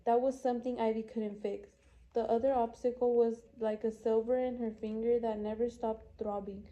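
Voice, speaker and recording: Synthetic lady reading an audiobook, very mellow dark tone, kinda lo-fi. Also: fairly high pitch, level tone, moderate pace. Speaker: audiobook reader. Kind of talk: scripted narration of a children's novel.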